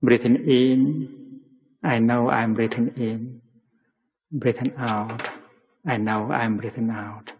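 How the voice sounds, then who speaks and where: A man leading a guided mindfulness meditation, speaking gently and deliberately in short phrases with long pauses between them. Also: medium pitch, fairly even intonation, husky. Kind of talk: guided meditation talk to a community. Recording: muffled recording in a long-reverberant hall.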